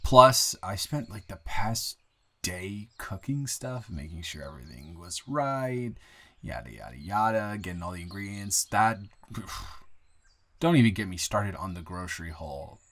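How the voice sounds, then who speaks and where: A friendly young man played by a male actor talks in a fairly low voice, as if to a close friend. He is conversational and halting, rambling and correcting himself in the loose, improvised way of an intimate roleplay audio. He comes across as an easygoing, grateful newcomer. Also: animated, a bit bright, full character voice. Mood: chatty, animated. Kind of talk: improvised first-person roleplay audio, casual chat. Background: bird and outdoor ambience sound effects.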